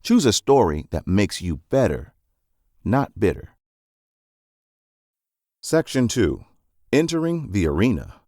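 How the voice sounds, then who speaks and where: Male narrator for non-fiction summaries. Low register, animated delivery, emphatic phrasing, dry close recording. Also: slow pace, long pauses, no echo.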